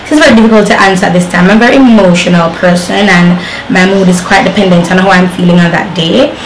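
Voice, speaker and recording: A woman's voice: fairly low pitch, quick pace. Chatty woman vlogging in an echoey room. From Jamaica. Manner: chatty, rambling, many fillers and restarts. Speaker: beauty vlogger reviewing hair. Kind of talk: casual talk to camera. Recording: echoey room with faint noise.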